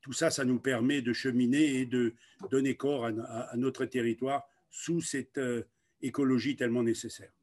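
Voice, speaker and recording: A man, a local official, presenting informally in an online meeting in a deliberate, halting way with frequent pauses. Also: mid-range voice, fairly even intonation, darkish tone.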